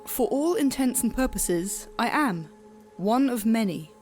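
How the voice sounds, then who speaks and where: A woman playing a composed, detached grim reaper in an audio drama, speaking with stiff, measured formality. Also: a little high, lively intonation, darkish tone, faint music behind.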